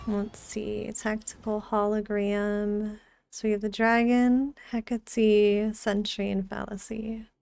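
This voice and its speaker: A woman's voice: medium pitch, flat delivery, slow, vocal fry, a little mellow, no echo. A woman giving casual gameplay commentary as a streamer, musing aloud in short reactions. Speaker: game streamer.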